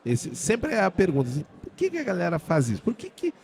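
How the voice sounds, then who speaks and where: Homem de voz um tanto aguda e informal. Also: male voice, high voice, wildly swooping pitch, fast talker, darkish tone. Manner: informal, slangy questioning. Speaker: podcast host. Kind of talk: casual interview chat. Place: event podcast set.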